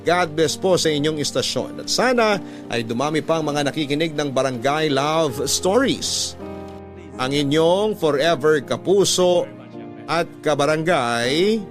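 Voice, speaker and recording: A man with a fairly high voice works as a radio storyteller. He delivers scripted narration in a dramatic style, with music clearly playing behind him. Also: expressive, measured pace. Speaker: radio host narrating listeners' letters. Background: background music.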